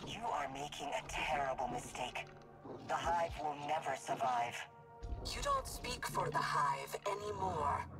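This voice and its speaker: A woman's voice: sits low, big pitch swings, a little slow, loud music behind the voice, full character voice. A woman playing an imperious, solemn alien hive royal in a video game, with stately, declamatory delivery. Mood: defiant.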